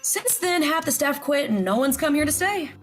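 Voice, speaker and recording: Wry, higher-voiced hotel owner. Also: female voice, animated, moderate pace. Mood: jaded. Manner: casual, wry, plainspoken. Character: wry, jaded woman hotel part-owner, plainspoken. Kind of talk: scripted audio drama acting. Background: music.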